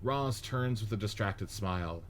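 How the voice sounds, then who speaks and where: A man narrating the stage directions of a script reading in a steady, matter-of-fact way. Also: lowish voice, measured pace.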